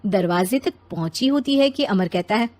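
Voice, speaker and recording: A woman's voice: mid-range voice, animated, normal speed, darkish tone. A woman narrating a scripted children's moral story.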